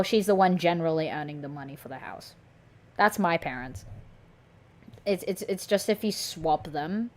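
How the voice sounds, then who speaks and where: A young woman chatting and joking over her gameplay as a streamer. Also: on the low side. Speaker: gaming streamer. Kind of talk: casual gameplay commentary.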